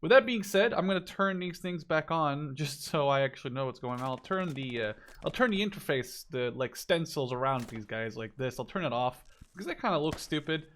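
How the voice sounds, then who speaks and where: A man's voice: fairly high pitch, lively intonation, fairly quick, few pauses, a bit bright. Casual man commentating on gameplay. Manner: informal, thinking aloud, mildly profane. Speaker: video game spectator commentator.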